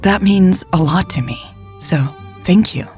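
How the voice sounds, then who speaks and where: A woman narrating scripted audio fiction in a husky, creaky voice. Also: on the low side, lively intonation, measured pace, a little mellow, faint music behind. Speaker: podcast storyteller and narrator.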